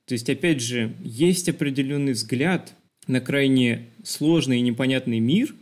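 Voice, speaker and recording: Man talking thoughtfully, mid-pitched voice. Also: a little slow. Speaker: podcast co-host. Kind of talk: conversational film discussion.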